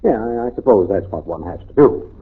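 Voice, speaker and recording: A man playing a dry, skeptical middle-aged father in a 1940s radio sitcom, hesitant and gently sarcastic. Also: on the low side, lively intonation, brisk, natural voice. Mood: wry. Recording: tinny, noisy old radio recording.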